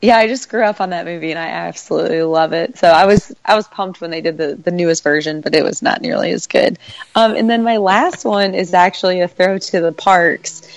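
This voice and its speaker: A woman with a cold and a congested voice, chatting casually as a podcast co-host, laughing often and filling pauses with "um" and "like". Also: on the low side, gravelly, dry recording. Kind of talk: casual chat.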